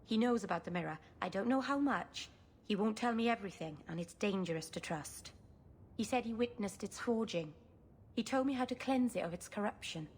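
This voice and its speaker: An actress playing a stubborn, anxious young elf woman in a video game, speaking earnestly and haltingly. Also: mid-range voice, expressive, a little mellow, slightly rough. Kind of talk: dramatic video game acting.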